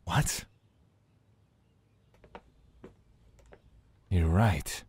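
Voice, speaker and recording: An actor playing a brooding, aloof god in an audio drama, wary yet curious, with formal, archaic phrasing. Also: male voice, deep voice, takes it slowly, a little mellow, slight background noise. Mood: skeptical, curious.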